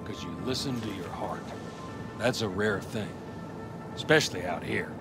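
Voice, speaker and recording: A man's voice: on the low side, moderate pace, natural voice. Man playing folksy nomad cowboy, music behind. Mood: gently reflective. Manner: philosophical, plain-spoken, folksy. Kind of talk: video game voice acting.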